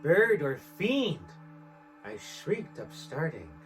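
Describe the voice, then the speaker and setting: A man's voice: on the high side, huge pitch swings, takes it slowly, fairly bright tone, soft background music, heavily acted. A man putting on a character voice as a tormented, desperate narrator, reciting a gothic horror poem with theatrical intensity. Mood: furious despair. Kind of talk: dramatic poetry recitation in impersonated character voice.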